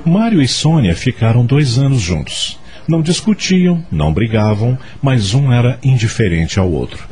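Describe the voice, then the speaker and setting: A man's voice: medium pitch, sing-song, normal speed. A man with a Brazilian accent narrating a scripted radio drama.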